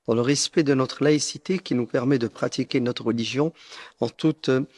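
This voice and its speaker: A man in his late fifties from France, gives a prepared personal statement in a solemn, formal, deliberate way. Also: medium pitch, darkish tone. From northern France. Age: late 50s. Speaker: preacher making a personal statement.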